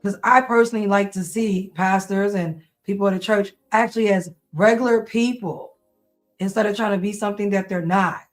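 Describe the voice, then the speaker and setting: A podcast co-host in casual banter, calm and matter-of-fact, sometimes a little hesitant. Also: medium pitch, fairly quick, soft background music.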